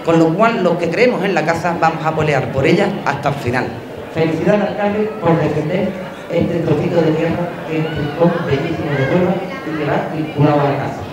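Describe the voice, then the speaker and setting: A woman's voice: low-pitched, brisk. A middle-aged woman giving an emphatic public speech, building lists to a climax. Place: indoor exhibition stand at a fair. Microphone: handheld mic. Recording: echoey fair hall with crowd.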